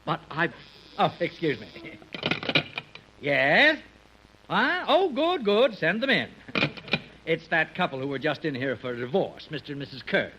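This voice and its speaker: High, swooping muddled lawyer. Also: male voice, big pitch swings, measured pace, long pauses, bright, forceful tone, full character voice. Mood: muddled, brisk. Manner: flustered, scatterbrained, emphatic. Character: muddled, absent-minded, fussy male lawyer. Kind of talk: 1950s radio comedy acting. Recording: noisy old radio recording, studio audience.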